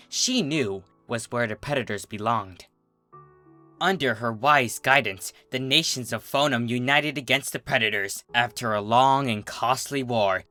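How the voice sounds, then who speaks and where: Male narrator, bright and expressive, for theatrical fantasy storytelling with deliberate delivery. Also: mid-range voice. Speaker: fan fiction reader, narrator. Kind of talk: dramatic scripted story narration.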